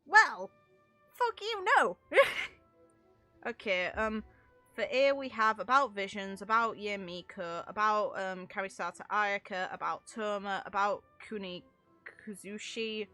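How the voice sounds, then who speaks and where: A woman's voice: mid-range voice, slow, sharp tone, soft background music. A woman streaming a game with casual live commentary, rambling as she thinks aloud and swearing mildly.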